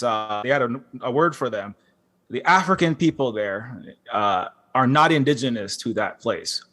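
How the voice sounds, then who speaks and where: Impassioned man with halting restarts. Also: medium pitch, a bit bright. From Hawaii. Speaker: panelist, game developer. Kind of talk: conference panel talk. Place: large concert hall.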